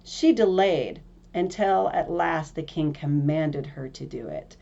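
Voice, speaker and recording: Slow, expressive woman storyteller. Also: sits low, creaky, dull audio. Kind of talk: scripted fairy-tale narration.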